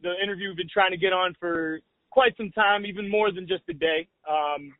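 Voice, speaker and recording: High-voiced man hosting a casual podcast. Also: no echo. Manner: easygoing, chuckling, halting questions. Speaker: podcast host. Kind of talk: casual interview. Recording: dry, phone-like band.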